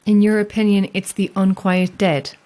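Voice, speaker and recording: An actress playing a guarded, sceptical lighthouse keeper in a horror audio drama, speaking in a measured, dry way. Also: female voice, medium pitch, little pitch movement, dark tone, natural voice. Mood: sceptical, probing. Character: guarded, sceptical lone lighthouse keeper, woman, wryly amused.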